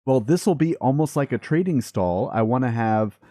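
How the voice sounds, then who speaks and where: A man's voice: mid-range voice, animated, moderate pace, dark tone, dry recording. A man hosting a gaming let's play, chatting playfully and casually to viewers and game characters. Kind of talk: upbeat casual gameplay commentary.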